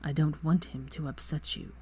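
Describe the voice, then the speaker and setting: A woman voicing a tender, brooding young wizard in a low, quiet, hushed voice. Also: a little fast, husky. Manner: quiet, hushed, near whisper.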